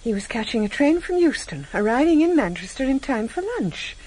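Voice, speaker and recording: A composed but anxious wife in a radio thriller. Her voice is slightly high with a husky edge, and it moves expressively. She is sharp and guarded in how she speaks. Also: very animated, fairly quick, poor audio. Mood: anxious, indignant. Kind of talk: radio drama acting.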